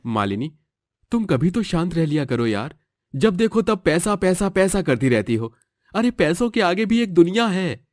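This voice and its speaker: A man's voice: high-pitched, very animated, normal speed, a little mellow, dry recording, studio quality. Man acting an earnest young husband. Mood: exasperated. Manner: earnest, reproachful, dramatic. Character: exasperated young husband in a family moral story.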